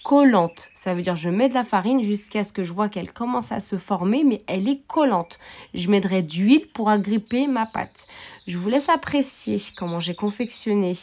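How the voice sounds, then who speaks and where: A woman's voice: medium pitch, expressive, moderate pace, darkish tone. A woman hosting a home cooking video, talking casually to viewers in a chatty, repetitive way, posing questions and answering them herself. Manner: chatty, repeats herself, asks and answers her own questions. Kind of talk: casual recipe narration addressed to viewers.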